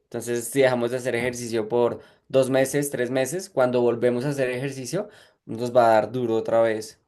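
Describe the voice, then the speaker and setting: A man's voice: mid-range voice, level tone, normal speed, darkish tone. Male teacher musing in podcast chat. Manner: reflective, repeats words while searching. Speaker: guest, language teacher and researcher. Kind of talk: casual podcast conversation.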